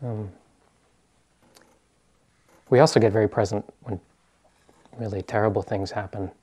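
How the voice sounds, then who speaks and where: Male meditation teacher, American, lowish voice, informal talk to a group, hesitant and searching for words. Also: fairly even intonation, unhurried, mellow, breathy edge. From US East Coast.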